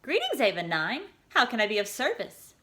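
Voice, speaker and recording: Lady voicing a polite chirpy ai gadget, high pitched, crisp. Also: wildly swooping pitch, normal speed, pushed and bright, exaggerated voice. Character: chirpy helpful handheld AI device.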